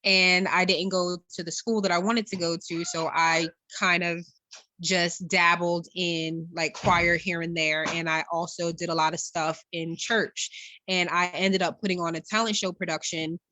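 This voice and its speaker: Woman, bright forceful voice, lowish pitch, dry recording, casual interviewee storytelling. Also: little pitch movement, unhurried, dry recording. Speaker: actress being interviewed.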